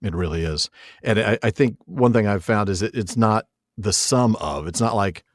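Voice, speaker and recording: A man's voice: fairly low pitch, normal speed, no echo, clean studio sound. A man co-hosting a podcast, discussing books casually in an agreeable, thoughtful, conversational manner. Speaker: podcast co-host.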